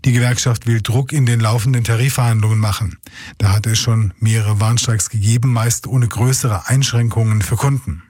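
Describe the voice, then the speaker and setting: A man reading scripted radio news as an anchor, in a factual, measured manner on a close studio microphone. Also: medium pitch, steady tone, darkish tone, slightly rough, no room sound.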